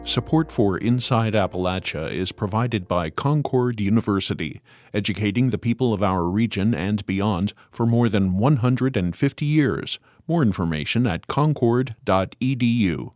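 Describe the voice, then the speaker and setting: A man reading a formal sponsor announcement in a deliberate way. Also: lowish voice, lively intonation, a little mellow, no room sound. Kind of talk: underwriting announcement.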